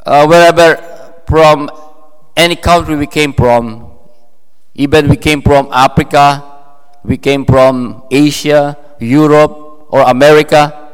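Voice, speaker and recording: A man with a Filipino accent delivers a sermon at an unhurried, deliberate pace. He speaks in a halting way, often restarting phrases and leaning on fillers. His voice carries a long reverb, as from a church hall. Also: on the high side, steady tone, clean tone. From Philippines. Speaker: pastor.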